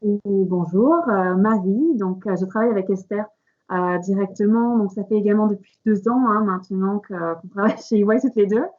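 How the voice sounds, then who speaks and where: A woman's voice: mid-range voice, brisk, a little mellow. A woman recruiter speaking in a semi-formal, conversational way as she presents on a panel. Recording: slightly dull, lo-fi audio.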